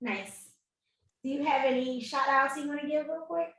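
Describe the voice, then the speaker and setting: A woman's voice: high voice, moderate pace, a bit bright. A woman in her twenties hosting an interview, friendly and upbeat. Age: 20s. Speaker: interviewer host. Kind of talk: casual interview chat. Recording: echoey room, distant mic.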